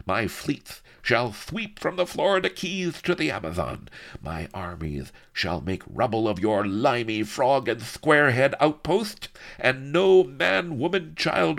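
A bombastic Spanish villain from a swashbuckling storybook. The voice roars high and swings wildly in pitch. He is pompous, bloodthirsty and given to theatrical, gloating rants. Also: gravelly, fairly bright tone, heavily acted. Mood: furious, bombastic, then ranting. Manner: pompous, bellowing, theatrical ranting.

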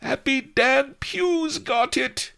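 A man's voice: sits high, very animated, a little slow, creaky, a bit bright, voice pushed up, heavily acted. A man voicing a small, cowardly pirate henchman in a storybook, babbling nervously.

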